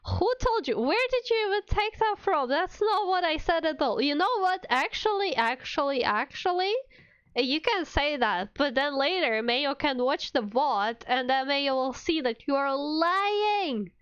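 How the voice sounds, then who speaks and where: A woman's voice: high voice, expressive, measured pace, fairly bright tone, no echo, dull audio. A woman livestreamer chatting casually with viewers in a playful, mock-indignant, giggly manner. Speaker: streamer, VTuber.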